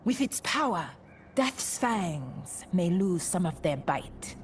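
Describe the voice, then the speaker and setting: A woman voicing a devout, resolute warrior pilgrim in a fantasy game, with a measured, portentous and formal manner. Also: on the low side, very animated, slight background noise. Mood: solemn, resolute. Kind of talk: fantasy video game acting. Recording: loud music under the voice.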